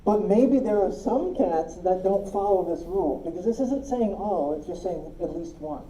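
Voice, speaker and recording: A woman's voice: mid-range voice, expressive, normal speed, dark tone, echoey room, slight background noise, rough recording. A woman teaching a logic class, explaining step by step and often checking that her students follow. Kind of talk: classroom lecture.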